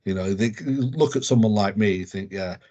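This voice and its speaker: A man's voice: lowish voice, brisk, warm tone, dull audio. An English man co-hosting a podcast, talking casually with many ums and restarts. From England. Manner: rambling with many fillers and restarts. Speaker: podcast co-host. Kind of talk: casual sports chat.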